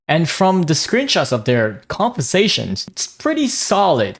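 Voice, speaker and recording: A young man from Vietnam, a native Vietnamese speaker, giving blunt, casual commentary on online drama, swearing freely and often restarting his sentences. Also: fairly high pitch. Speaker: gaming commentary YouTuber.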